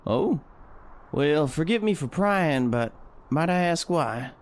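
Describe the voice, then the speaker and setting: A man's voice: on the high side, animated, slow pace. A man playing a polite, inquisitive coachman in a fantasy audio drama, deferential and chatty. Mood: polite, curious. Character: polite, inquisitive male coachman, humble and wary.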